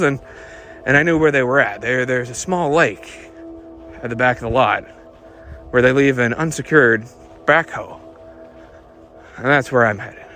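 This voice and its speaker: A man playing a world-weary hot rod detective, narrating a noir monologue in a dramatic, deliberate, knowing manner. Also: medium pitch, fairly bright tone, natural voice. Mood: knowing, grim.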